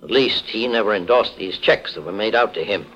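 An actor playing a calm, shrewd gentleman detective, questioning formally in the style of a 1940s radio mystery. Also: fairly low pitch. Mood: assured. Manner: measured, probing, formal questioning. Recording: muffled vintage 1940s radio recording.

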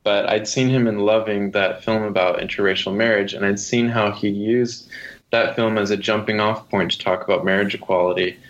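A man speaking as a guest author in an interview, answering conversationally in a thoughtful way with hesitant pauses. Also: fairly low pitch, fairly even intonation. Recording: dull lo-fi sound.

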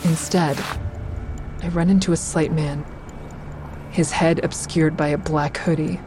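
An actress playing a wary, anxious woman who narrates an audio drama in the first person, measured and confiding. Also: deep voice, steady tone, noisy background. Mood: tense, measured.

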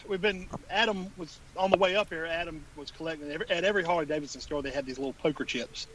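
Guy on a video call swearing and joking, talks fast, slightly high. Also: a bit bright, low-quality recording. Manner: profane, sarcastic banter. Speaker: podcast guest on a road trip. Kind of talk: casual chat.

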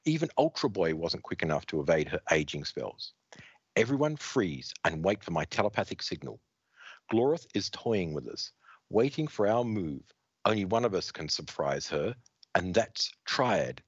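A man voicing a young heroine who calmly gives orders as a telepathic leader, steady and commanding. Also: low voice, moderate pace, husky, no room sound, exaggerated voice. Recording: dry, slightly dull lo-fi sound.

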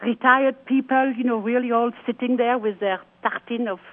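A woman's voice: fairly high pitch, steady tone, normal speed. A woman calls in to a radio show, her mid-range voice squeezed through a tinny phone line. She talks eagerly and agrees readily with the host. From Belgium (Wallonia). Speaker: radio caller.

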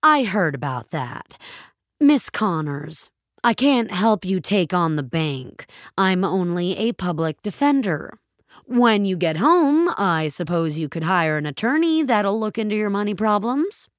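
A woman's voice: medium pitch, very animated, measured pace, no echo, clean studio sound. A woman voicing a weary male public defender, officious and coaxing, in an audio fiction story. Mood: paternal, resigned.

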